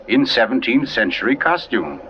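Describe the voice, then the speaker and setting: A man playing an urbane mystery writer who narrates a sinister tale in theatrical, deliberate 1940s radio style. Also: mid-range voice, big pitch swings, a little hiss or hum, close to an everyday voice. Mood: suave, ominous. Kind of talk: 1940s radio suspense acting. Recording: muffled vintage radio recording with music.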